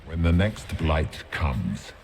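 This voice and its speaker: A man voicing a huge, ancient nightmare demon in a video game, gloating and mocking with slow menace. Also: a bass voice, sing-song, gravelly, darkish tone, slight background noise, exaggerated voice. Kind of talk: theatrical video game villain acting.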